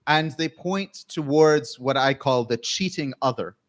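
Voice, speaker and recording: A man from Canada giving an academic keynote lecture, speaking in measured phrases and pausing often. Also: medium pitch.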